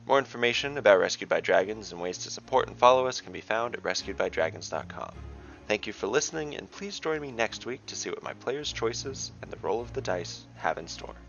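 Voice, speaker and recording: Male narrator for fantasy podcast, deliberate delivery, creaky low voice with a bright edge. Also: lively intonation, highs cut off. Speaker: narrator and game master. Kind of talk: scripted fantasy story narration.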